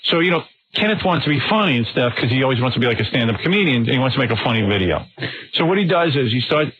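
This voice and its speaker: A man's voice: mid-range voice, big pitch swings, very fast, husky, no echo. A man hosting a radio show, bantering and teasing his guests with blunt questions. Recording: dull lo-fi radio broadcast.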